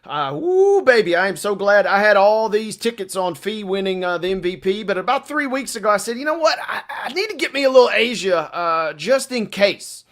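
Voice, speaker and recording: A man with a high voice co-hosts a sports talk show. He talks casually and colloquially, breaking down the games with playful banter. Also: sing-song, moderate pace, few pauses, fairly bright tone. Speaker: sports radio co-host.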